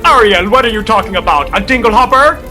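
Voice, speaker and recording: A man voicing frantic, shrill parodies of animated fairy-tale characters in a radio play. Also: fast, faint music behind, exaggerated voice. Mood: manic. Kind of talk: cartoon parody montage.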